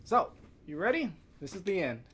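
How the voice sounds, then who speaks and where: Animated man streaming a game, higher-pitched voice. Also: moderate pace, breathy edge. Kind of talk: casual live gameplay reaction.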